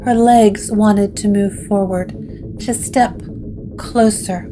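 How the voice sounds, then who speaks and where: A woman narrator reading scripted gothic horror in a measured way, with dramatic pauses. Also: medium pitch, steady tone, noisy background.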